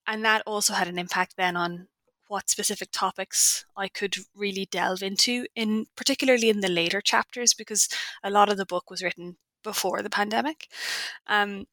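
A woman's voice: mid-range voice, fairly even intonation, normal speed, pushed and bright, dry recording. A woman with an Irish accent giving candid, reflective, conversational answers as a science author being interviewed. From Ireland.

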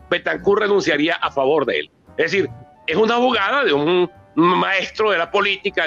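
High-pitched older Venezuelan man giving a talk. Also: vocal fry, bright, forceful tone, muffled recording. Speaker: author and politician presenting his book. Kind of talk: impassioned public talk on political history.

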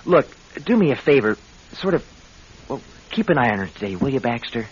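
An actor playing a scheming husband, glib and coaxing, in an old-time radio drama. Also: male voice, mid-range voice, big pitch swings, fairly quick, breathy edge. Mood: feigned embarrassment. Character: scheming husband, smooth and glib, talking sweetly. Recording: muffled, hissy vintage radio recording.

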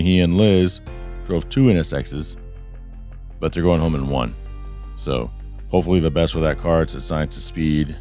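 A man hosting a casual podcast, hoarse and losing his voice, talking in a loose, rambling way with some swearing. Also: sits low, a little mellow. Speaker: podcast host. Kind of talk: casual chat. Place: studio.

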